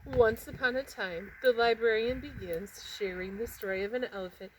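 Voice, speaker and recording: A woman narrating a children's picture book expressively, with dramatic pauses and emphasis on words. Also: a little high, a little hiss or hum, poor audio. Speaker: read-aloud storyteller.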